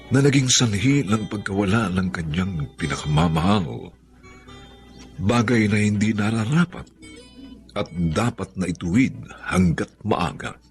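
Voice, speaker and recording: A man with a lowish voice delivers solemn, poetic radio drama narration. He is theatrical, with sweeping intonation and a slow pace, over music. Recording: faint music bed under voice.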